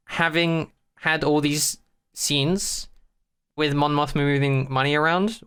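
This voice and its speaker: Podcast co-host, mid-pitched, clear and bright; blunt, opinionated, swears freely in loose conversation. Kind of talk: casual chat.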